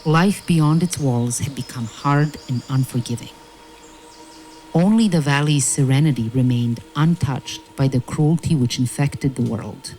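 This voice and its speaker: A woman narrating a fairy-tale audio play in a measured, solemn storytelling manner. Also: very deep voice, warm tone, a little hiss or hum, poor audio. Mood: wistful. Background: music.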